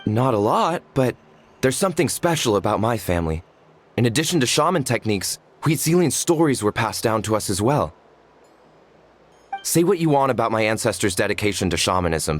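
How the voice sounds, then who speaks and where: A man's voice: lowish voice, expressive, brisk, slight background noise, natural voice. An actor playing a modest, earnest tribesman in a video game, polite and a little hesitant. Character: modest, earnest tribesman proud of family stories.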